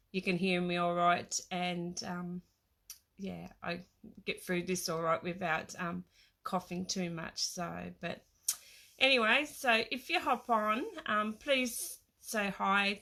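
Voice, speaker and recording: Middle-aged female, Australian accent, mid pitch, slightly croaky with a cold. Hesitant, informal craft demonstrator chatting on a livestream. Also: pushed and bright. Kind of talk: casual livestream chat. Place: small home craft room.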